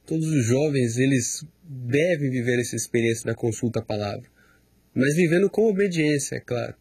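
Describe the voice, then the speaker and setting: A man's voice: medium pitch, normal speed, a little mellow, breathy edge, no room sound. A man hosting a studio question-and-answer program, brief and moving things along. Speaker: program host.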